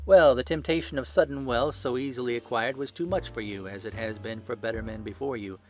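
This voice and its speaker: A man playing a confident gentleman detective in an audiobook, speaking with brisk authority and dry wit. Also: medium pitch, faint music behind, close to an everyday voice, low-quality recording. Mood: commanding. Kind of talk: audiobook character acting.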